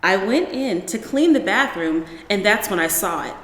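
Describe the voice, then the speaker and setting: A woman's voice: mid-range voice, expressive, moderate pace, a bit bright, natural voice. A woman voicing an anxious, bossy young mother who urgently orders and pleads with her son in a storybook reading. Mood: shuddering, baffled. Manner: urgent, insistent, commanding. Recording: echoey, reverberant room.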